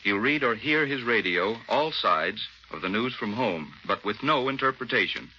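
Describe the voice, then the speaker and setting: A man's voice: medium pitch, animated, moderate pace. An American man, an army officer, giving clipped, matter-of-fact scripted answers in a 1940s radio interview. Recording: muffled 1940s radio, faint noise.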